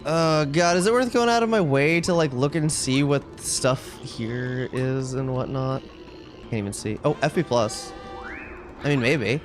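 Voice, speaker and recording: A man's voice: medium pitch, measured pace, over music. A man livestreaming a video game, chatting casually with viewers and commenting on play in a wry, ranting way.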